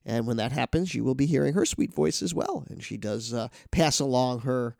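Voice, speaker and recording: A man's voice: mid-range voice, normal speed, creaky, a little mellow, dry recording, clean studio sound. A man with a nasal, congested voice from a cold, hosting a casual news talk show and rambling with many restarts. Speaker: podcast host.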